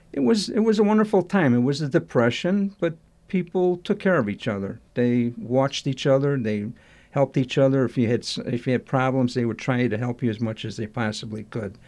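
Older man with a mellow voice. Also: medium pitch. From Chicago, USA. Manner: halting, restarts sentences, warmly nostalgic. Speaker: interview guest. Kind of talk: reminiscing interview answers.

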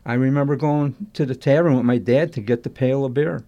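Older man with a mellow voice. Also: medium pitch, fairly even intonation, dull audio. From Chicago, USA. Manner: halting, restarts sentences, warmly nostalgic. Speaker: interview guest. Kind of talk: reminiscing interview answers.

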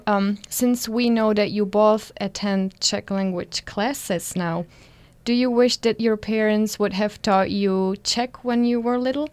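Smooth-voiced woman interviewer. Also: mid-range voice, darkish tone, no room sound. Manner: polite, measured, asks long multi-part questions. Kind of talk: interview questions.

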